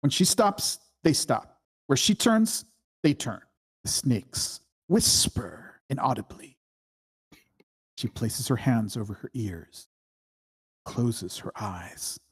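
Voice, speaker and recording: A mid-pitched narrator reads screenplay stage directions aloud at a table read. The delivery is slow and deliberate, broken into short phrases. The voice has a husky, unpolished grain. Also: lively intonation. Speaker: screenplay reader.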